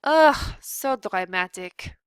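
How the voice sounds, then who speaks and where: A vain mother mouse, sighing and dismissive, as a woman reader puts her on. She speaks slowly, in a very dry, close sound. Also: mid-range voice, expressive, fairly bright tone, no echo.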